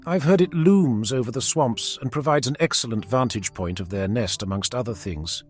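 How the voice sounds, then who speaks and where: A man voicing a poised, coy noblewoman who teases in formal, measured fantasy dialogue. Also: medium pitch, soft background music, natural voice. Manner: formal, measured, gently teasing. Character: poised, coy noblewoman teasing her brother. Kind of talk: fantasy audiobook character dialogue.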